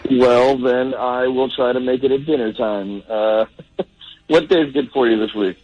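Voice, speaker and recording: A man talking casually on a phone call, somewhat halting and hesitant in the way he answers. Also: medium pitch, fairly even intonation. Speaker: call recipient. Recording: phone call with faint music bed.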